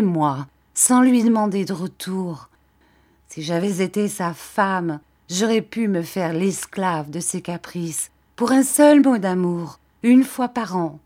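A female narrator plays a scarred, embittered woman consumed by vengeful passion in a classic novel. She accuses and commands imperiously, hammering out her reproaches at a slow, deliberate pace. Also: lowish voice, mellow. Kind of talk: dramatic audiobook acting of a classic novel.

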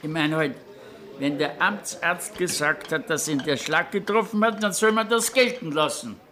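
An actor playing a cautious, deferential village tavern regular with a questioning manner in a radio drama. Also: male voice, a little high, normal speed, sharp tone. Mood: doubtful, placating.

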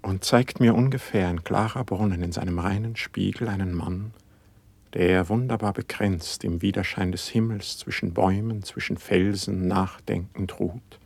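An actor playing a dreamy young poet in a classical verse radio play, speaking in a lofty, reverent way. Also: male voice, low-pitched, steady tone, normal speed, vocal fry, a little mellow, breathy edge, no echo, full character voice. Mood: humble, dreamy. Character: dreamy young male poet, rapt and humble.

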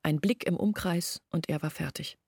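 A woman's voice: sits low, moderate pace, a little mellow, husky, no room sound, clean studio sound. An actress playing a troubled young village woman who recounts her family's downfall as a first-person story in a radio play. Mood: grave recollection.

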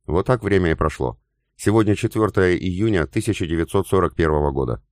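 A man reading a novel aloud in the first person, with even, unhurried storytelling. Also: sits low, no room sound, studio quality. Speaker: audiobook narrator. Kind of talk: first-person scripted narration.

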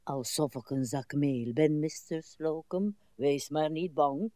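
Slow, weary, fussing old lady. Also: low voice, mellow, no echo, studio quality. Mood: bossy, anxious. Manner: plaintive, halting, muttering asides and sudden exclamations. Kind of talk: radio play acting.

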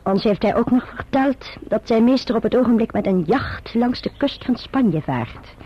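A muffled old radio play with an imperious Dutch gentlewoman. Her voice is husky, with lively melody. She speaks in cold, formal, haughty phrases. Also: a little high, moderate pace. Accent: Netherlands Dutch. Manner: curt, haughty, clipped and formal. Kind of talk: radio drama acting.

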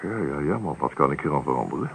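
An actor playing a grumbling, resigned middle-aged father in a radio play, gruff, muttering and dismissive. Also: extremely low pitch, lively intonation, quick pace. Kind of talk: naturalistic radio play acting. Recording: noisy old radio drama recording.

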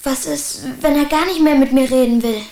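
A woman's voice: sits high, moderate pace, reverberant, a crowd in the background. A woman playing an earnest, curious and stubborn young boy with a childlike, questioning way of talking in a radio play. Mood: worried.

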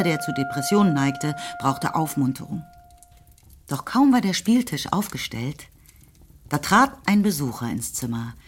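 A woman narrator reading literary prose for a radio play in a measured, expressive storytelling manner. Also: deep voice. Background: faint music.

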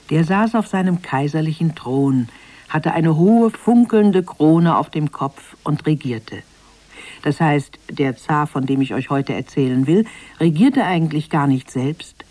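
Dry-recorded, expressive fairy-tale storyteller. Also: female voice, sits low, no echo. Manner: expressive, deliberate emphasis. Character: mysterious female Russian fairy-tale storyteller. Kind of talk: theatrical storytelling for children.

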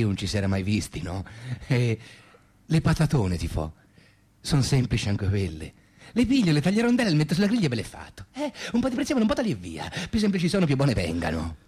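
A man's voice: mid-range voice, very animated, fairly quick, a little mellow, slightly rough. An actor playing a pushy, oversolicitous male friend in a radio play, coaxing insistently in a Tuscan Italian accent. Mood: eager. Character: pushy, oversolicitous friend forever offering food. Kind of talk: theatrical radio drama dialogue.

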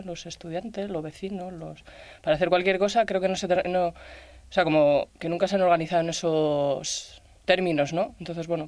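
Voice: mid-range voice, darkish tone, no room sound. A guest on a radio round table, thinking aloud in a reflective, hesitant way with many fillers. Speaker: discussion guest. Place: radio studio.

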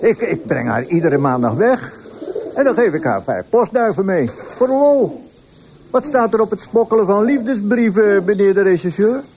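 A man's voice: high-pitched, animated, moderate pace, a little mellow, highs cut off, a little hiss or hum. An actor playing a defensive, exasperated man with dry wit, indignantly answering accusations in a radio play. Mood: indignant, sardonic. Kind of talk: radio drama acting.

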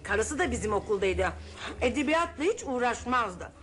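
A woman playing a chatty, fond aunt in a radio play, lively and talkative as she reminisces. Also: on the high side, moderate pace. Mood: reminiscing. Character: chatty middle-aged aunt, gossipy, fond. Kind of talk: 1970s Turkish radio drama acting. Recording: old radio broadcast with music.

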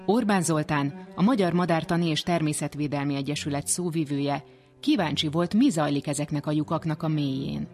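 Radio reporter, scripted documentary narration, mid-range pitch, faint music behind. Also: darkish tone.

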